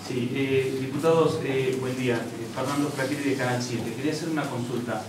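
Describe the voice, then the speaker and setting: A man's voice: medium pitch, fairly quick. Man, TV reporter, polite and hesitant question, distant echoey hall. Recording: echoey hall, far from the mic, noisy.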